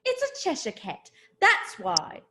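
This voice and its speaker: A very slow, imperious, bad-tempered Duchess. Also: female voice, sits high, huge pitch swings, pushed and bright, exaggerated voice. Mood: curt, dismissive. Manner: drawn-out, exaggerated, imperious delivery. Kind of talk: dramatic reading of a children's classic.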